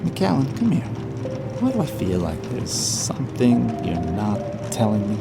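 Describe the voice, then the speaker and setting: Low male voice, gruff down-on-his-luck skipper, suspicious, audio drama, prominent music bed. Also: wildly swooping pitch, a little slow, heavily acted. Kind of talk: full-cast audio drama acting. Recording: music behind the voice.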